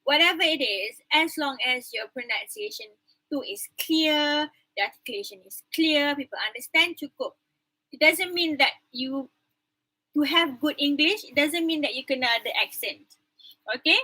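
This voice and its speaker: A woman's voice: high-pitched, sharp tone, poor audio. A woman with a Malaysian accent teaching an online English class, mixing English and Malay in a chatty, emphatic way over a computer mic. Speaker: university English lecturer. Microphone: computer mic on video call.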